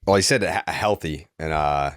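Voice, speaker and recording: Low voiced guy co-hosting a sports podcast, blunt and teasing, really dry sound. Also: normal speed, clear, resonant tone, no echo. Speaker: podcast co-host. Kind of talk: casual sports banter.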